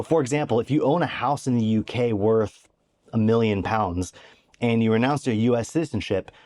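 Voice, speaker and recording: A man's voice: mid-range voice, a little mellow. A middle-aged man from Oklahoma with an American accent, narrating a vlog in a matter-of-fact way with dry, wry asides. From Oklahoma, USA. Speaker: vlogger.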